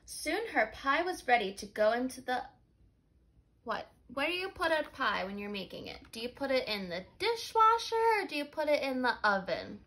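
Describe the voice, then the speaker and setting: A woman teacher with a high, very animated voice reads a children's story aloud. She keeps her phrasing simple and speaks straight to her young listeners, checking in with questions. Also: normal speed. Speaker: life skills teacher.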